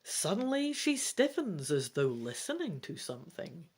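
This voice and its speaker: A gamebook reader and host giving dramatic, deliberate storybook narration with casual asides. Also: mid-range voice, sing-song, a little mellow, husky. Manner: theatrical, deliberate phrasing.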